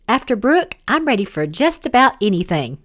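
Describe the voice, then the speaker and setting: A woman's voice: a little high, big pitch swings, moderate pace, a bit bright. A woman voicing a spirited, sassy woman guide sent from above in a storybook reading. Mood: playful, sassy.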